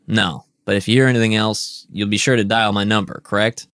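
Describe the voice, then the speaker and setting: A man's voice: on the low side, steady tone, brisk, a bit bright, clear, resonant tone, no room sound, clean studio sound. A man voicing a drunk, frail, vicious chemical company boss with a country twang and a coarse, snarling way of talking. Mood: contemptuous.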